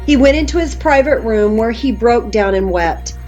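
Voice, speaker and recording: A woman's voice: on the high side, expressive, moderate pace, darkish tone. A woman narrator reading scripture aloud as dramatic scripted storytelling. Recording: music behind the voice.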